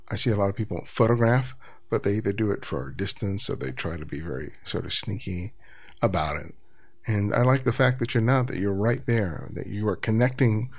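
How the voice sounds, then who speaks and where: Male podcast host, low, gravelly, thoughtful, stammers into questions. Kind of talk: interview questions.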